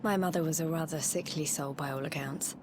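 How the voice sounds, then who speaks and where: An actress voicing a poised, earnest young princess in a video game cutscene, composed and well-spoken with a wistful streak. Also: female voice, sits low, fairly quick, background noise, lightly acted. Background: music.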